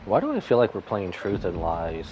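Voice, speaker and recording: Lively low-voiced male game streamer. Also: moderate pace, dull audio, a little hiss or hum. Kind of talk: casual reaction commentary. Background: game music.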